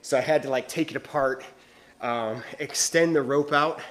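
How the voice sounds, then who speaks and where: A man's voice: mid-range voice, moderate pace, fairly bright tone. A male bodybuilder vlogging to his viewers in casual chat, rambling and hesitant, with lots of fillers and restarts.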